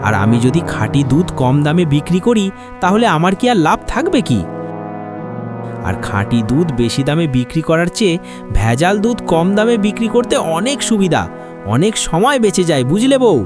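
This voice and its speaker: A man's voice: high voice, sing-song, normal speed. A man playing a scheming, bossy village milkman and husband in a cartoon moral tale, with an argumentative, wheedling way of talking. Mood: smug, persuasive. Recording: music behind the voice.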